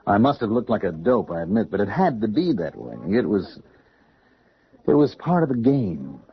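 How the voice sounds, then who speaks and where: A placating young man of radio drama, has a low voice with animated swings. He explains himself earnestly and evasively. The old broadcast sound is dull. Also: normal speed, slightly rough, heavily acted. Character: evasive, pleading young man named Corey, eager to placate. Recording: muffled old radio recording.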